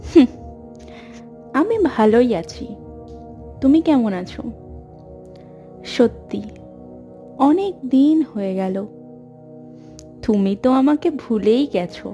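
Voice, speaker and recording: A woman voicing a caring village mother and a lonely girl in a cartoon, speaking slowly and softly. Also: a little high, expressive, faint music behind. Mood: wistful. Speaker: voice actor. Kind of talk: cartoon voice acting.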